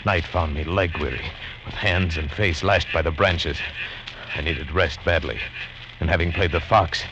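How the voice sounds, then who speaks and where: An actor playing a grim hunted man who tells his own suspense story, tense and deliberate, in 1940s radio style. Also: low-pitched, steady tone, highs cut off, noisy background, close to an everyday voice. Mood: tense, urgent. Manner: tense, deliberate dramatic delivery. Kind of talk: 1940s radio acting, first-person narration. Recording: vintage radio drama with music behind.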